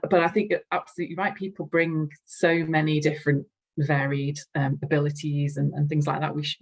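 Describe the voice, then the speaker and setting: A woman's voice: sits low, low-quality recording. A woman with a Liverpool accent, a podcast guest chatting enthusiastically and effusively, often repeating words as she goes. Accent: Liverpool (Scouse). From Liverpool, England. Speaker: guest, customer care strategy lead. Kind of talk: podcast interview chat.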